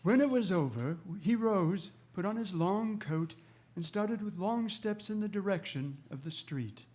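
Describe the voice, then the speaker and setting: An actor playing a loyal gentleman companion in a radio drama, genial and earnest in his storytelling. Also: high voice, animated, unhurried, a little hiss or hum. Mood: fond, reminiscing. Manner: reminiscing, earnest.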